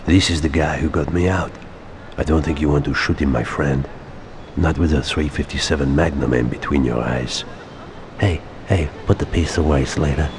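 An actor playing a calm crime boss with halting, unhurried speech and understated menace in a game. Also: male voice, a bass voice, background noise. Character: laid-back male crime boss, calm and quietly threatening.

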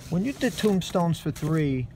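A man's voice: fairly high pitch, big pitch swings, a little slow, noisy background. A man, a record collector, asking curious questions in casual chat from a parked car, recorded on a handheld phone. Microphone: phone in his hand.

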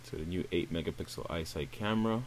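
A man, casually talking viewers through a phone unboxing in a relaxed, upbeat way. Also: deep voice, fast, a little hiss or hum. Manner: relaxed, upbeat presenter addressing viewers as guys. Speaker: tech reviewer.

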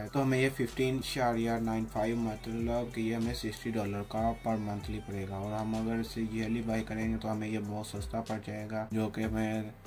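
A man narrating an unscripted software tutorial, explaining plainly step by step. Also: on the low side. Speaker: tutorial host. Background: background music.

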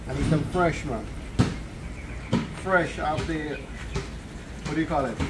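A man's voice: a little high, measured pace. Easygoing Guyanese man, distant mic. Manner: easygoing, teasing, chuckles often. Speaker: co-host cooking on camera. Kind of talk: casual cooking chat. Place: covered outdoor porch. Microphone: camera several feet away. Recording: distant mic, outdoor background noise.

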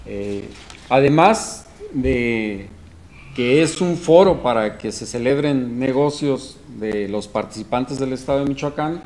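A man in his forties with a Mexican Spanish accent, a forestry official giving a deliberate press statement with frequent fillers, recorded on desk microphones indoors. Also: mid-range voice, darkish tone. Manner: deliberate, many fillers, lists items.

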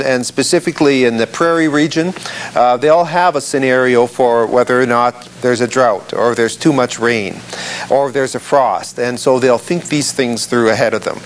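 A man's voice: mid-range voice, a little hiss or hum. A man, a farm management expert, answering an interview question with many fillers and restarts.

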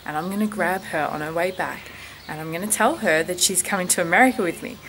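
A woman's voice: fairly low pitch, quick pace. A young Australian woman talking casually to the camera in a vlog. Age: young adult. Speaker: vlogger. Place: outdoors on a farm. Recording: noisy outdoor ambience with birds.

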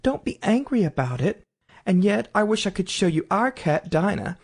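A woman voicing a polite, eager little girl in a storybook, earnest and quick to apologise. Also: mid-range voice, very animated, gravelly, darkish tone, breathy edge, pitched above the performer's own voice, full character voice. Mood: soothing, dreamy.

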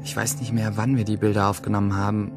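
A man's voice: fairly low pitch, level tone, quick pace, music in the background, lightly acted. A man playing a troubled, guilt-ridden teenage schoolboy, speaking his inner monologue huskily in a German radio play. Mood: numb.

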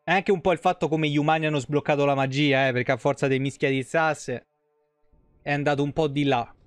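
A man's voice: a little high, steady tone, brisk, smooth, dry recording. A young man from Italy doing casual live gameplay commentary, playful and foul-mouthed. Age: young adult. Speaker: live game streamer.

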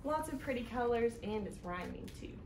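A woman hosting a children's storytime, reading aloud in an animated, sing-song way that speaks directly to little listeners. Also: fairly high pitch, moderate pace. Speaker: children's storytime host at a library. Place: living room indoors, seated on a couch. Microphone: camera a few feet away. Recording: roomy home sound with noticeable background noise.

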